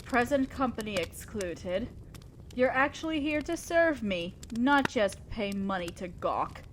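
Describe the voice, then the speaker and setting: A woman's voice: on the high side, animated, noisy background. A woman playing an imperious, old-fashioned female demon lord in an audio roleplay, speaking formally and with condescending concern to the listener. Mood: concerned, probing. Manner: commanding, formal, measured, condescending yet concerned. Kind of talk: scripted roleplay monologue addressed to the listener.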